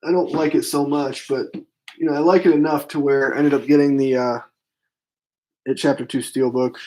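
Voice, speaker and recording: Man, hoarse voice, casual live stream host, rambling, filler-heavy, answers chat, at home. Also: mid-range voice, steady tone, normal speed, warm tone, low-quality recording. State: hoarse, losing his voice. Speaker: film channel host. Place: home room with bookcase.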